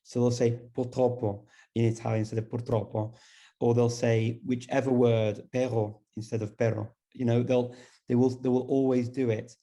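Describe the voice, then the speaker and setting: A man's voice: medium pitch, normal speed. A man hosting a conference session over a video call, asking questions conversationally. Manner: asks questions in a relaxed conversational way. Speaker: conference host.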